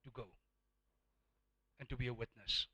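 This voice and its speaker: A man with a South African accent and Afrikaans as his first language, preaching a sermon emphatically, repeating words for effect and swinging between hushed and loud. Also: medium pitch, steady tone, moderate pace, husky.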